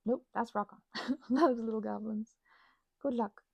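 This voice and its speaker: Woman, thirties, ASMR rambler. Mellow, breathy delivery, playful and emphatic, recorded very dry. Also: a little high, fairly even intonation, unhurried, no echo. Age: 30s. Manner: emphatic, playful slangy rambling with quoted asides. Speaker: ASMR channel host. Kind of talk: casual rambling ASMR monologue.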